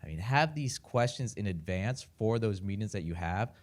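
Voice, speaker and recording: Low-voiced man giving direct advice. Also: animated, moderate pace, darkish tone. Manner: direct, coaching tone. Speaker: panelist. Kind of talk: panel advice.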